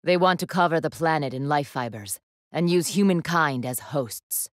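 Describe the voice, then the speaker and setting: Woman voicing a lowish-voiced bossy anime student leader girl, proud n formal, fierce resolve, game voice lines, very dry audio. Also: moderate pace, no room sound, close to an everyday voice. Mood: grave, calculating. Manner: commanding, haughty, formal. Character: imperious, steely young female student council leader with fierce resolve.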